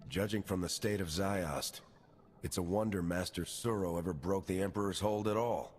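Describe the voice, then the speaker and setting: A man playing a composed, authoritative heroic commander in a video game, speaking in a measured, steady way. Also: deep voice, faint music behind, close to an everyday voice. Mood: grave. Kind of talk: video game dialogue acting. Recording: long reverberant hall.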